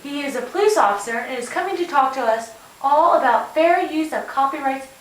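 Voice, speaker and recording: A woman voices a welcoming teacher puppet with a high voice, addressing a class in a scripted show. The sound is roomy, with clear hiss behind it. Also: lively intonation, brisk. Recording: roomy, hissy amateur recording.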